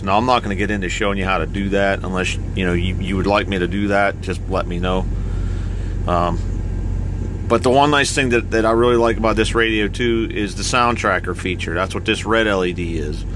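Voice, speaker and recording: A man with a fairly low voice talks through his gear in a casual, plainspoken way, like a trucker showing how things work. He goes step by step and repeats words to stress a point. The recording is noisy, with a steady vehicle and air-conditioning hum inside a truck cab. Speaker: truck driver explaining his radio gear. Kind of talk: casual hands-on equipment walkthrough.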